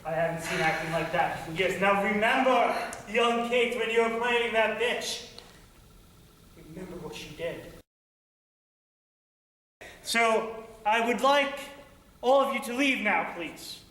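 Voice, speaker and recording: A man playing a theatrical play director who heaps slow, dramatic praise on his cast. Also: high-pitched, sharp tone, slight background noise. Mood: effusive. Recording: very echoey room, mic far off.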